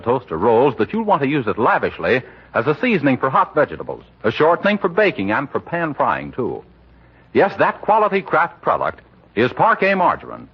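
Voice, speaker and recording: A man delivering a scripted 1940s radio announcement with a polished, emphatic sales pitch. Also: husky. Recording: dull old radio broadcast recording.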